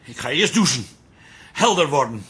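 A sharp, forceful middle-aged husband in a radio play. He is anxious and jealous, swinging widely in pitch as he stammers and repeats himself in a fluster. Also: big pitch swings, normal speed. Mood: panicked, exasperated. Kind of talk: radio drama acting.

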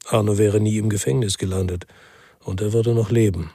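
A man's voice: on the low side, steady tone, fairly quick, warm tone, slightly rough, no echo, studio quality. An actor playing a world-weary male ex-policeman who narrates his own crime story in a sober, laconic way for radio drama. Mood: brooding.

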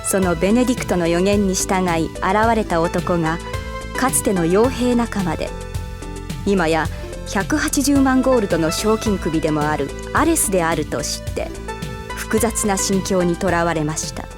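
An actress playing a devoted, otherworldly woman who narrates an audio drama in a measured, solemn, formal manner. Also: lowish voice, natural voice. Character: devoted otherworldly female narrator set on resurrecting a fallen swordsman. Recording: music bed behind the voice.

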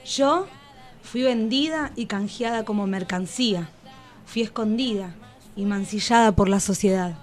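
A woman's voice: mid-range voice, a little slow, soft background music, natural voice. An actress playing a wounded, bitter and defiant enslaved everywoman, reciting emphatically in poetic radio theatre. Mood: bitter, pained. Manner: solemn, emphatic poetic listing.

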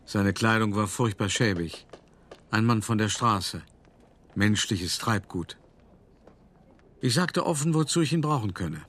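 An actor playing a calculating, urbane male art dealer who recounts his past deliberately and confidingly in a radio play monologue. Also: lowish voice, lively intonation, a bit bright. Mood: reflective. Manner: deliberate, confiding, addressing the listener directly. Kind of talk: first-person dramatic monologue in a German radio play.

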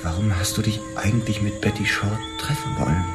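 An actor playing an infatuated, playful policeman in an intimate, expressive radio drama scene with his lover. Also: lowish voice, a little fast, loud music behind the voice, lightly acted. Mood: quiet, curious. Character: infatuated male cop, playful, guilt-ridden lover.

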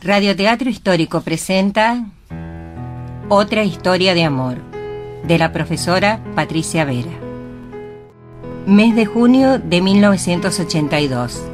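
A woman giving a solemn, literary narration for a radio drama in a measured way. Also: fairly low pitch, lively intonation. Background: faint music.

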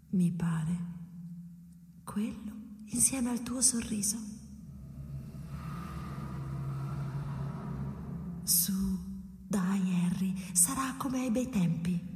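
An actress playing a solicitous, faintly detached and teasing wife in a radio drama, coaxing softly and slipping into breathy whispers. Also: female voice, medium pitch, natural voice. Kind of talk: theatrical radio drama dialogue. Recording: echoey reverberant sound with clear background noise.

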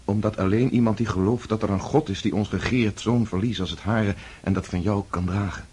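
An actor playing Pierre, an earnest young count in a radio play, who speaks in a searching, low-key way. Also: male voice, steady tone, brisk, a little mellow, a little hiss or hum, close to an everyday voice.

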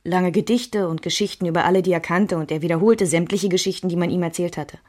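A woman acting as a self-assured member of the Beat circle, recalling her past in measured, intimate radio play narration. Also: fairly low pitch, fairly even intonation, darkish tone, no echo, studio quality, lightly acted. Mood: reflective.

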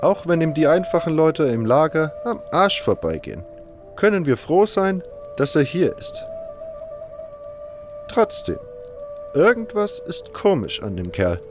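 A man's voice: on the high side, wildly swooping pitch, unhurried, long pauses, music in the background, pitched above the performer's own voice. A man voicing a blunt, knowing prison-camp guide who explains things frankly in a fantasy audio drama. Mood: frank, wary.

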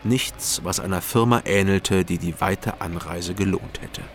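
An actor narrating a crime drama in the first person, in a calm, measured way. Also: male voice, sits low. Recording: music playing behind.

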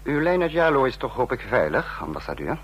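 An actor playing a sarcastic, domineering dictator in a radio drama, husky-voiced and biting as he scolds his subordinates. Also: mid-range voice, sing-song, a little fast, a bit bright, slight background noise, rough recording. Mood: scornful anger.

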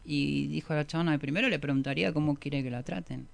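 Slangy, irreverent Argentine guest, medium pitch. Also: fast talker, creaky. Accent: Argentine Spanish. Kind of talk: casual, joking group chat. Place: radio studio booth.

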